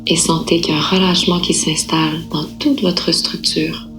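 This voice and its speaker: A woman yoga nidra instructor guiding a relaxation meditation in a calm, unhurried, soothing manner with gentle pauses. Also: low-pitched, music in the background.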